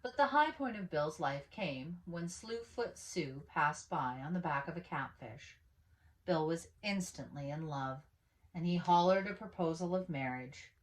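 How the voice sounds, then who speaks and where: Woman, slightly low pitch, storyteller, expressive picture-book read-aloud, animated emphasis. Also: a little slow, rough recording.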